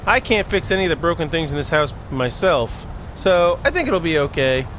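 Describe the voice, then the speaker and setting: A man's voice: fairly high pitch, big pitch swings, moderate pace, a bit bright, a little hiss or hum, rough recording. A man voicing a lonely, earnest young girl in a visual novel game. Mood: wistful.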